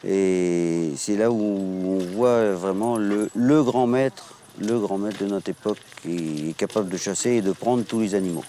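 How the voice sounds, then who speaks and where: A man from Maine-et-Loire, master of a hunting pack, explaining things at a measured pace with frequent hesitations in a documentary interview. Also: low-pitched, mellow, a little hiss or hum. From Maine-et-Loire, France.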